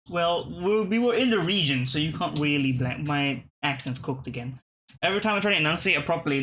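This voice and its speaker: A young man with a bright, slightly high voice, muttering and cursing his way through a geography guessing game. Faint keyboard typing sits behind his talk. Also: a little hiss or hum. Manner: thinking aloud, profane, muttering and restarting. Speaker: streamer playing web games. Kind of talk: casual gameplay commentary.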